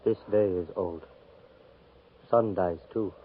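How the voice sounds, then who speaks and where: A man acting as a solemn, mournful scout who speaks plain, halting broken English in a 1950s radio western. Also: sits low, steady tone. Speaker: supporting actor in a radio western. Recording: muffled 1950s radio recording, faint noise.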